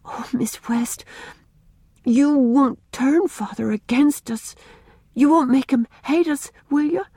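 A woman's voice: a little high, measured pace, darkish tone, husky, dry recording, studio quality, pitched above the performer's own voice. A woman voicing an earnest, timid young girl who pleads in a breathless, eager way. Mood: anxious. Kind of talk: audiobook character reading.

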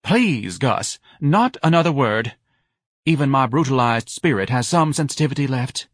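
A man's voice: mid-range voice, expressive, normal speed, dry recording, clean studio sound, voice pushed up, natural voice. A man voicing a melodramatic, self-righteous middle-aged wife in an audiobook, grandly reproachful and scornful. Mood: martyred, reproachful. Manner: overwrought, martyred, grandly reproachful.

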